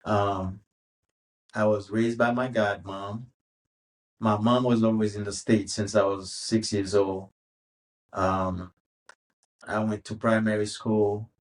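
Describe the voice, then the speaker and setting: A man in his fifties from Haiti with a Haitian accent, a guest telling his life story in a relaxed, unhurried way. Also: fairly low pitch, rough recording. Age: 50s. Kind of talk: casual interview storytelling.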